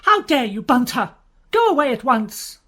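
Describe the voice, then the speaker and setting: A woman voicing a distraught young noblewoman with a breathless, pleading, high voice. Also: lively intonation, normal speed, slightly rough. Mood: indignant.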